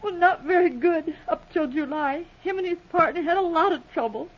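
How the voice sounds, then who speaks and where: A woman's voice: very high-pitched, normal speed. An actress playing a meek, anxious young woman in grief, timid and deferential, in 1940s radio drama style. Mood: subdued, earnest. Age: young adult. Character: meek, grieving young singer girl, anxious and naive. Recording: dull lo-fi vintage radio recording.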